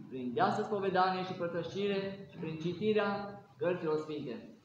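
A middle-aged Orthodox priest with a Romanian accent delivering an earnest sermon full of rhetorical questions, in a large church, recorded by a camera a few steps away. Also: male voice, high voice, slight background noise. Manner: exhorting, rhetorical questions, earnest preaching cadence. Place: large ornate church, indoors. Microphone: no mic, camera a few steps away. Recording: very echoey church, dull sound.

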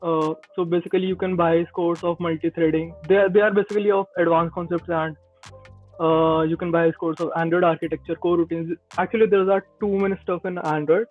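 Podcast guest: man in his twenties, Indian accent, high voice, explaining informally over a thin phone-like connection. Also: steady tone, fairly quick. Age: 20s. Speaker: podcast guest, Android developer. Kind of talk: casual advice in a video-call interview. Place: small indoor room. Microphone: earbuds on a video call. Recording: tinny phone-like call audio, faint music.

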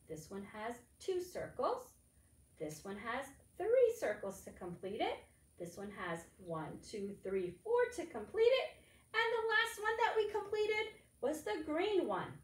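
A woman's voice: high voice, fairly bright tone. A middle-aged woman leading preschoolers through a lesson in an encouraging, sing-song, child-directed way. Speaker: library storytime presenter. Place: indoors, echoey room beside a board. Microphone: camera a few feet away. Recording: echoey room, distant microphone.

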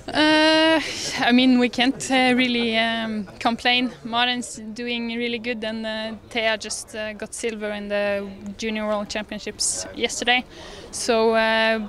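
This is a young Norwegian woman with a fairly high voice and a Norwegian accent. She answers questions casually, feeling her way through with hesitations. Her manner is good-humoured, like an athlete chatting to a reporter. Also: little pitch movement, measured pace, few pauses, fairly bright tone. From Norway. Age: young adult. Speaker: ski jumper being interviewed. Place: large indoor lobby. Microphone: handheld reporter microphone.

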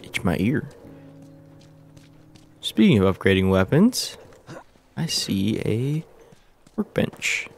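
A man with a very deep voice narrates gameplay in a casual, chatty way. His nose is stuffy, which makes him sound a bit nasal, and he talks in a relaxed, hesitant manner. Faint music plays underneath. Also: slow, creaky, pauses often. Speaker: gameplay commentator. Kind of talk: casual let's play commentary.

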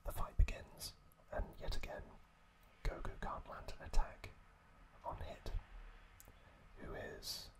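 A man speaks entirely in a soft, breath-only whisper. He takes his time, moving very slowly through an ASMR-style recap with frequent pauses. His delivery is halting and unhurried. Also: rough recording. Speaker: ASMR host.

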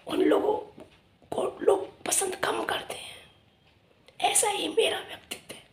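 A middle-aged woman vlogging casually to camera with a hoarse, lost voice, talking emphatically in a half-whisper from a small room. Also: extremely high pitch, fairly even intonation, takes it slowly, roomy sound, slight background noise. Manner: emphatic, whispery from a sore throat.

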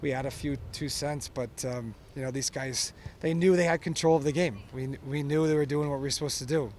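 A man in his thirties, a soccer coach, answering postgame questions outdoors at night with earnest, halting talk. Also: medium pitch, steady tone, a little mellow, slight background noise. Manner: earnest, halting, many fillers and restarts. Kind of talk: postgame interview. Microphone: handheld microphones held close.

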